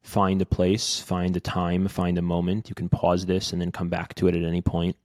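A man with a deep, low-pitched voice guides a meditation. His delivery is gentle and unhurried, with little rise and fall, and he leaves long pauses between short phrases. The recording is close and dry. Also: completely flat delivery, no echo. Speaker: podcast host guiding a meditation.

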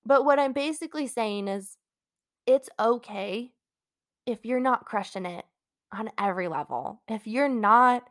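Young adult woman, congested, solo podcast host; candid, rambling, encouraging delivery, slightly high pitch, dry close recording. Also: animated, a little slow, fairly bright tone, dry recording. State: congested from a cold. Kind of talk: casual solo podcast talk.

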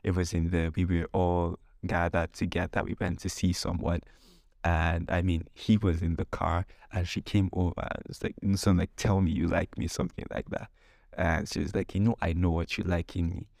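A very low-voiced man talks in a casual, humorous storytelling way. His voice has a gravelly creak and sounds close and dry. He hosts like a podcaster, slipping into acted-out bits of dialogue. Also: little pitch movement, moderate pace, a little mellow, no room sound. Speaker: podcast host.

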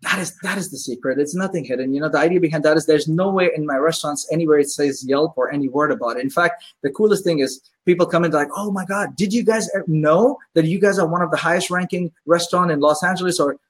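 A man's voice: a little high, fast talker, few pauses, breathy edge. A man who owns a pizzeria, chatting as a podcast guest and telling stories with enthusiasm, acting out what his customers say. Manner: enthusiastic storyteller, acts out customers' voices. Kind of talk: casual interview chat.

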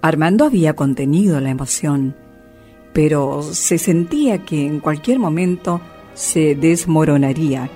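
A woman narrating a radio drama in a measured, expressive storytelling manner. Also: sits low.

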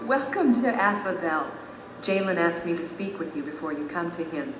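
A woman plays a gracious royal ruler, formal and composed. Her voice is on the low side and resounds in a large hall, with music behind, as in an audio drama. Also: animated, normal speed, natural voice. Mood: composed welcome. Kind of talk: allegorical audio drama acting.

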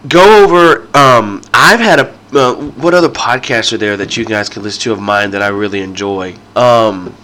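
A man's voice: on the low side, normal speed, few pauses, pushed and bright, slight background noise. A man hosting a podcast, nasal and congested from a sinus infection, rambling casually through his intro with lots of ums and restarts. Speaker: podcast host.

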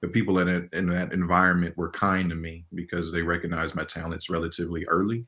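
A man's voice: low-pitched, flat delivery, fast, bright, forceful tone. A man in his forties who grew up in Los Angeles, an animation director talking casually as a guest and laughing often between loose, filler-filled answers. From Los Angeles, California. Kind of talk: casual interview talk.

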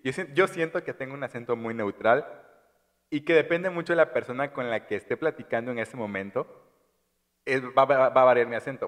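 Relaxed man from Chiapas, reverberant room. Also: medium pitch. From Chiapas, Mexico. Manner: relaxed, many "ajá" fillers. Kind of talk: casual podcast chat.

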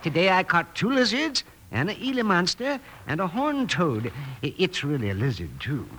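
A man's voice: sits high, sing-song, normal speed, fairly bright tone, a little hiss or hum. An actor playing a meek, chatty, dithering eccentric night watchman in a western radio drama, polite and giggly, on an old recording. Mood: fluttery, pleased.

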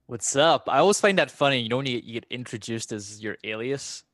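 A man with a mixed Scottish and American accent speaks at a middle pitch in a dry, close recording. He talks casually as a podcast guest, rambling conversationally through his stories. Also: a little fast, a bit bright, no echo. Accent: half Scottish, half American. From Scotland. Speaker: podcast guest, former pro gamer. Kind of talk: casual chat, personal storytelling.

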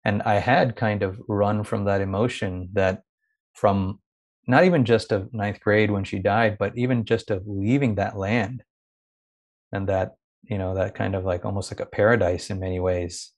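A man's voice: lowish voice, steady tone, moderate pace. A man who grew up in India, now a young adult, speaking in a thoughtful, searching way as an interview guest, often restarting his sentences. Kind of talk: reflective conversational interview.

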